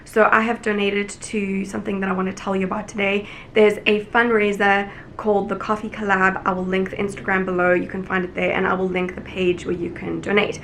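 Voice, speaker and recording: A woman's voice: mid-range voice, flat delivery, a little fast, few pauses, slight background noise. Earnest young woman vlogging. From South Africa. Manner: earnest, warm appeal to viewers, chatty. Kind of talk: casual direct-to-camera vlog talk.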